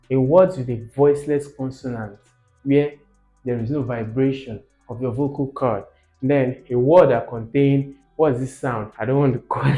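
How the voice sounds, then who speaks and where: A man's voice: mid-range voice, mellow. A man teaching informally as a video host, emphatic, repeating key words and putting rhetorical questions to the learner.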